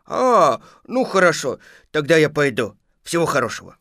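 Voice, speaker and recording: A man's voice: a little high, very animated, normal speed, a bit bright, no echo, clean studio sound. A man playing a naive, greedy, good-natured toy bear in a children's audio tale, hesitant and childlike. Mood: hesitant, hopeful.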